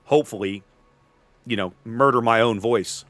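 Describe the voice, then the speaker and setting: A man co-casting a livestreamed game tournament from home, loud and easygoing in casual chat, his voice starting to tire. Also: medium pitch, moderate pace, faint music behind. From Texas. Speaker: tournament co-caster and streamer. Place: home streaming setup.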